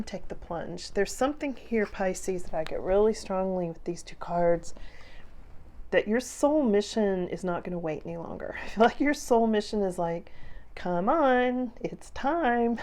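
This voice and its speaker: A slightly hoarse woman giving a casual, chatty tarot reading, speaking directly to her viewers and repeating phrases for emphasis. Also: mid-range voice, darkish tone. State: slightly hoarse after a cough. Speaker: tarot reader and channel host.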